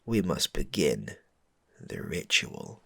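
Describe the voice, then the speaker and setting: A grave, self-important ritual leader as a man acts him out in a comic fantasy roleplay. He is slow and cryptic, his deep voice breathy and full of gravel. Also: big pitch swings, no echo, full character voice. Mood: grave, foreboding. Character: solemn, cryptic doom-saying leader of a milk-fearing ritual.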